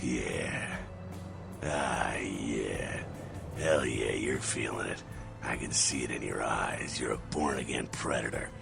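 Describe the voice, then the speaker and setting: An actor playing a brash, streetwise vampire mentor in a video game, gravelly, slangy and swaggering, with a rough jovial streak. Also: male voice, a bass voice, slow pace, exaggerated voice. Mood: exultant, hushed. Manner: slangy, folksy, swaggering, swears. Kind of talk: video game character acting. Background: music.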